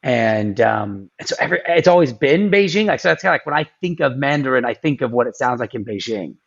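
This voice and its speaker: A man's voice: medium pitch, expressive, fast talker, creaky, slightly rough. Guy rambling thru a video call interview, lots of ums, muffled lo-fi sound. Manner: rambling, many ums and restarts. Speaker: guest. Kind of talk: casual interview chat.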